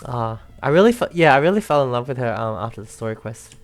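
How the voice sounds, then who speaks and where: A woman's voice: a bass voice, animated, quick pace. A woman giving casual, chatty let's play commentary as a gaming streamer, with an easygoing manner. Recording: game music behind the voice.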